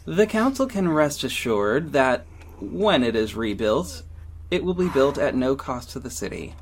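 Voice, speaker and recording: A man's voice: medium pitch, a little hiss or hum. A man playing a sarcastic, petty young company head who narrates an audio drama in a wry, dramatic way. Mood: petty, assertive. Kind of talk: first-person audio drama narration and dialogue.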